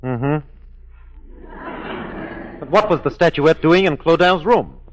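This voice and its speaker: Dry, animated police inspector, radio drama. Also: male voice, on the high side, slow, a little hiss or hum. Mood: dry, probing. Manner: formal, clipped, drily probing. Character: dry, courteous, jealous male French police inspector. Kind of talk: 1940s radio drama acting.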